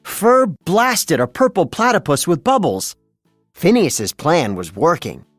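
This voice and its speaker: A narrator reading a children's read-along storybook in an expressive storytelling manner. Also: mid-range voice, takes it slowly, no echo, studio quality, soft background music.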